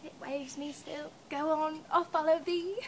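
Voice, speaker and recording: A woman's voice: sits high, steady tone, measured pace. A woman playing a defiant, determined young prince in an amateur Shakespeare drama, with declamatory delivery. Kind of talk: amateur stage reading of Shakespeare. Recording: some background noise.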